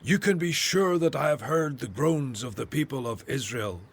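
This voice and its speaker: An actor playing an earnest, devout Hebrew prophet and leader in a radio Bible drama, with a solemn, declamatory manner. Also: male voice, medium pitch, expressive, moderate pace, breathy edge. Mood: fervent, solemn.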